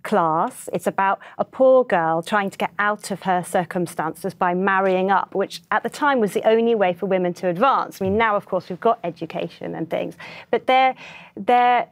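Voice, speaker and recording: A woman talks as a guest in a studio interview. She is earnest and explanatory, with a conversational flow and an average pitch. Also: moderate pace. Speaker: children's author, interview guest.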